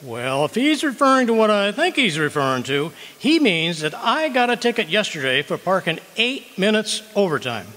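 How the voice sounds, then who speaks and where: An actor playing a blustery, wisecracking husband who argues and stammers in an old-style radio comedy. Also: male voice, high-pitched, sing-song, moderate pace, few pauses, pushed and bright, full character voice. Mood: indignant, blustery. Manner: wisecracking, indignant, hesitant stammers. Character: blustery, argumentative middle-aged husband, wisecracking schemer. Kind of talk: 1940s radio comedy acting, live re-creation. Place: community hall at a home park, live performance. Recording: reverberant hall, live stage performance.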